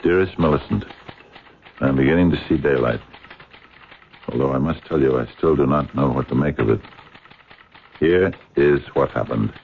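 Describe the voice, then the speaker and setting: An actor playing an earnest, stubborn American professor in a 1970s radio drama, speaking in a deliberate, dry, insistent way. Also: male voice, a bass voice, slight background noise. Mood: thoughtful, intrigued. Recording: narrow-band old radio broadcast.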